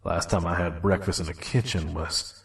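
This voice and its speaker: A man playing a weary, guarded pony trader in an audio drama, with a gruff, dry, terse way of talking. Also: low voice, fairly even intonation, normal speed, dry recording, full character voice. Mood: weary, grumbling. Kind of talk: audio drama narration and dialogue.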